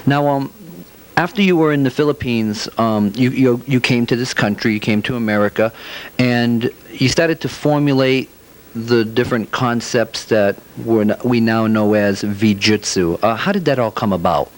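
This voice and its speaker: A man's voice: medium pitch, normal speed, rough recording. A man hosting a martial arts TV interview, asking questions in a polite, deferential way and often repeating himself. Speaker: TV show host.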